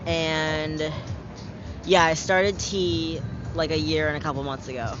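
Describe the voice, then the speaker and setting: A young man's voice, pitched a touch higher than usual for a guy, talking in a loose, unfiltered way with plenty of "like" and "um." He's recorded outdoors on a city street, and the sound is muffled under steady traffic noise. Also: measured pace. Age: young adult. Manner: candid, chatty, frequent fillers and swearing. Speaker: interviewee. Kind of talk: casual street interview.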